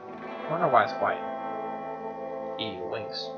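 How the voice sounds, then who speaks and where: A man's voice: fairly low pitch, lively intonation, slow. A man reading a visual novel aloud, mixing story narration with casual, sweary, incredulous asides. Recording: dull lo-fi mic under guitar music.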